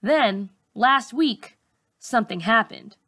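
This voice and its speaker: A woman narrates a story in the first person as a teacher reading aloud would. Her bright, fairly high voice moves at a slow, deliberate pace, with dramatic emphasis and expressive phrasing.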